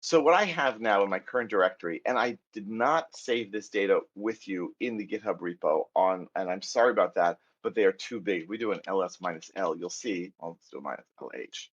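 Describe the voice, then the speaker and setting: Husky American man teaching code. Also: low voice, brisk, few pauses, highs cut off. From United States. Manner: chatty, self-deprecating, thinks aloud while typing. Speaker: programming instructor. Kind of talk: live coding lecture.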